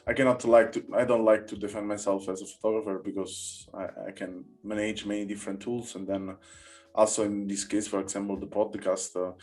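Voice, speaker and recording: Low-voiced Italian man, halting English. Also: flat delivery, a little mellow. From Italy. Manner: halting, searching for words in non-native English. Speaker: podcast host. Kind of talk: casual interview conversation.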